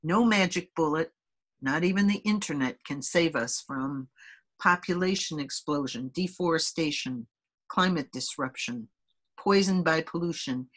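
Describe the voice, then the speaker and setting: Female host, gentle and unhurried, guides a meditation, slightly low voice. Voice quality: soft, gentle, deliberate delivery. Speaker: host leading a group conversation. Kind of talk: calm guided meditation introduction and reading.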